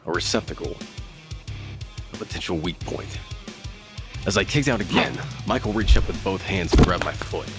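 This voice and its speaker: A man's voice: deep voice, lively intonation, normal speed, long pauses. An actor playing a battered, gritty fighter, narrating his own story in an audio drama with grim intensity. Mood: grim, intense. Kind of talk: dramatic first-person scripted narration. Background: loud music.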